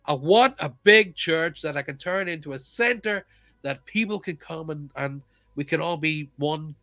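Middle-aged male host, fairly high voice, chatty and upbeat, dry studio mic. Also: moderate pace, no echo. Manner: chatty, laughs often, warm enthusiasm. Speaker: show host. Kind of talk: casual live show chat.

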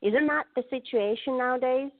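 A woman's voice: high voice, normal speed, dry recording. A woman guest, an empowerment coach, speaking earnestly and enthusiastically in a live online interview. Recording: dry, phone-like narrow audio.

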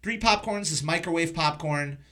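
A man's voice: fairly high pitch, normal speed, sharp tone. A man hosting a podcast, chatting casually and informally about films in a loose conversational way. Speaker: podcast host. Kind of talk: casual film review chat.

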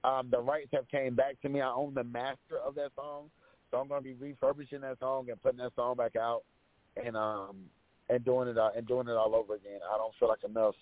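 A raspy-voiced man talks through his story at an easy pace, filling gaps with ums and restarts. The sound is tinny and narrow, like a phone line, and dry, with no room around it. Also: medium pitch, a little fast, dry recording. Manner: relaxed storytelling with many fillers. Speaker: rapper guest. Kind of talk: casual interview chat.

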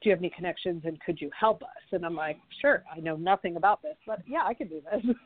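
A woman's voice: lowish voice, expressive, quick pace, phone-quality sound. A woman whose first language is French, talking through her career in a chatty interview with many fillers and easy laughs. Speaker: podcast guest, fashion founder. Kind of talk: conversational interview.

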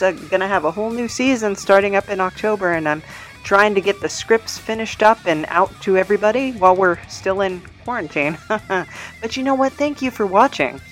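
A woman's voice: medium pitch, poor audio. A woman hosting a podcast, reading out credits and plugs in a casual, chatty, self-deprecating way with sing-song emphasis. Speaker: podcast host and producer.

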